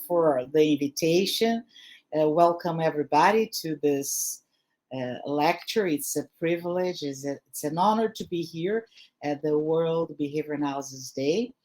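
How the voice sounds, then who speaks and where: A woman's voice: deep voice, darkish tone. A woman with a Brazilian accent, a native Portuguese speaker, giving an academic lecture over a video call with frequent fillers and restarts. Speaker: guest lecturer.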